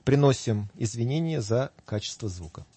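A male reporter giving a measured documentary voice-over narration. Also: mid-range voice, expressive, dark tone, slight background noise.

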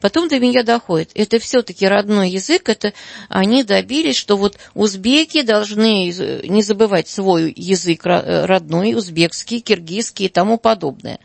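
A woman's voice: mid-range voice, moderate pace, creaky, dry recording. A middle-aged woman, a school director, speaking as a guest on a radio talk show, earnest and hesitant with many fillers.

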